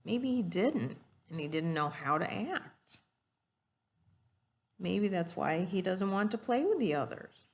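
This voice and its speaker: A woman's voice: fairly low pitch, very animated, slow pace. A woman reading a storybook aloud and teaching children, explaining simply and putting questions to her young listeners.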